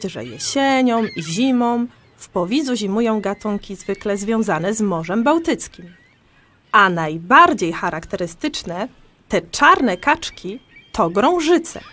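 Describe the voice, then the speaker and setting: An actress playing a patient, enthusiastic amateur birdwatcher who explains things in a conversational audio drama. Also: female voice, mid-range voice, a bit bright, full character voice. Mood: explaining.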